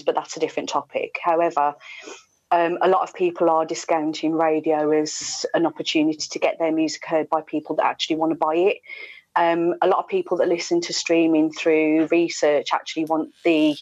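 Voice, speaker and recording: A middle-aged woman with a very low voice talks casually, like a radio presenter being interviewed. The sound is dry and a little dull, picked up through earphones on a video call. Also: dry recording. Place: indoors at home.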